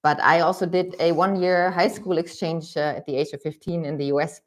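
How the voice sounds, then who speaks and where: Woman, low voice, hesitant livestream co-host. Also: steady tone, a little fast. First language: German. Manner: hesitant, lots of ums and restarts. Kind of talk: casual livestream chat.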